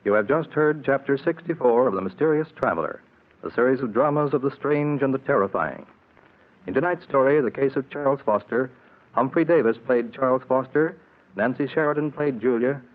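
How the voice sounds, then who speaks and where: A man reading scripted credits as a formal, measured announcer in 1940s radio style. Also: mid-range voice. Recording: muffled, noisy old radio recording.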